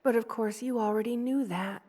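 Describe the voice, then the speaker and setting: A woman voicing a gentle, loving spider queen in an ASMR roleplay, with a tender, soothing and gently formal way of speaking. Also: on the high side, fairly even intonation, normal speed, close to an everyday voice. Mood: soft, comforting.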